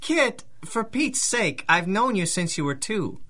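A desperate young male working stiff caught as a burglar. He speaks earnestly and pleadingly with lively swings of pitch, in the manner of 1930s radio adventure drama. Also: a little slow, full character voice. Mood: defensive.

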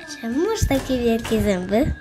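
A woman's voice: on the high side, animated, moderate pace, music in the background, close to an everyday voice. A young female performer playing a trusting, puzzled little girl in a fairy-tale audio drama. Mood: innocent, puzzled.

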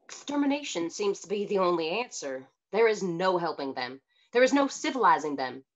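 A woman playing a cold, haughty frontier townswoman in a radio western, pronouncing her views in a measured way. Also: on the low side, big pitch swings, muffled recording. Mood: cold, certain. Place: indoors at home, video call.

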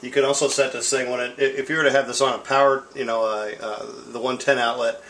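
A man's voice: mid-range voice, flat delivery, quick pace, a bit bright, slight background noise, rough recording. A middle-aged man giving a casual, unscripted equipment demonstration in a rambling, self-deprecating way. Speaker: tape deck seller demonstrating equipment. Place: indoor room at home. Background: music from record and tape playback.

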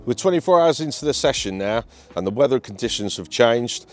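A man's voice: mid-range voice, expressive, a little fast, darkish tone, soft background music. A British man with a British accent, presenting a fishing feature as an angler and explaining things to camera. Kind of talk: instructional talk to camera. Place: outdoors on a lake bank.

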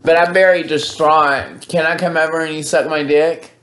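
This is a man with a very high voice for his sex, recorded at home as he makes a prank call. He puts on distress in a dramatic, plaintive style. His words are drawn out as if he is upset. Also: steady tone, moderate pace, fairly bright tone, slight background noise. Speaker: prank caller. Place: indoors in a home room, reclining.

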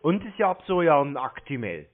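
A man's voice: fairly high pitch, sing-song, normal speed. A native German man on a prank phone call, rambling and crude as he plays dim. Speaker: prank caller baiting phone scammers. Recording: narrow, tinny phone line.

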